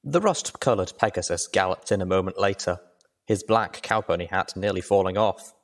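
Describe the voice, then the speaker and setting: A man's voice: low-pitched, moderate pace. A man reading scripted adventure fiction as the narrator.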